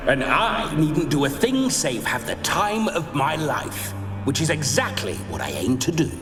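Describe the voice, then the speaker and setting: A man's voice: fairly high pitch, sing-song, unhurried. A man voicing a boastful, lecherous nobleman's ghost in a fantasy video game, theatrical and suggestive, with a ghostly reverb. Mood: smug, lecherous. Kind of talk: video game cutscene dialogue. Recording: long ghostly reverb over music.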